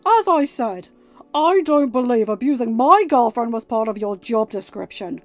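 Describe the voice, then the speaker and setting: A woman voicing an angry, protective young king with a forceful, growling, emphatic delivery in an audio story. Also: high voice, no echo, highs cut off. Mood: growling anger. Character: angry protective teenage king, stern boyfriend.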